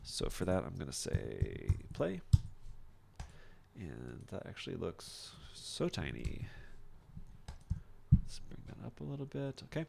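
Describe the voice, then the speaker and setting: A man teaching software in a casual screen-share tutorial, thinking aloud informally as he demonstrates each step. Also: low-pitched, huge pitch swings, slow, gravelly, pauses often, a little hiss or hum. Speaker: software instructor.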